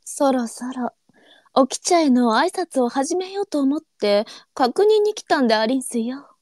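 A woman playing a gentle, unflappable senior courtesan in a period audio drama, teasing and speaking in archaic courtesan dialect. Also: high-pitched, lively intonation, moderate pace, no echo, clean studio sound. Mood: gentle, composed.

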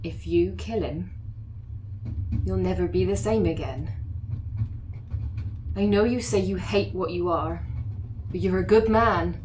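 A woman with a low voice roleplaying, earnest and pleading as she speaks to another player's character. A faint background hiss runs under her voice. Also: a little slow, long pauses, a little mellow, rough recording.

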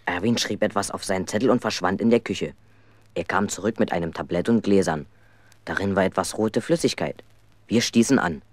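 Deep, dry-miked male storyteller. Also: brisk, no echo. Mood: recounting. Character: schoolboy Alfons as storyteller, mischievous and aggrieved. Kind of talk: radio play narration.

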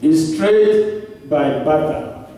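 A man's voice: on the high side, warm tone, a little hiss or hum. A man in his thirties with a Nigerian accent, preaching a sermon at a pulpit into a handheld mic in a church hall. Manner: slow, emphatic preaching with long pauses. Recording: echoey church hall recorded from far off.

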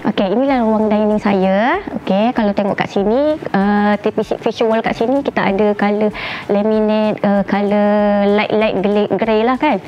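A middle-aged woman with a Malaysian accent giving a casual, chatty tour of her house, often pausing to search for words. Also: medium pitch. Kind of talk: casual guided home tour to camera. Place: indoors, rooms of a furnished house. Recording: slightly dull sound with faint background noise.

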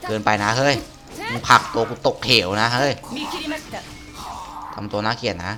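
A man's voice: medium pitch, fairly even intonation, moderate pace, music in the background. A man giving casual, blunt, sweary gameplay commentary as a game streamer. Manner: blunt, sweary, stumbles when reading. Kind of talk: casual gameplay commentary, reading story dialogue aloud.

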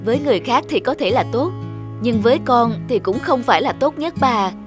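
A woman's voice: a little high, animated, a little fast, lightly acted. A woman voicing a self-assured young office-worker son in a cartoon drama, explaining confidently, with music behind. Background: background music.

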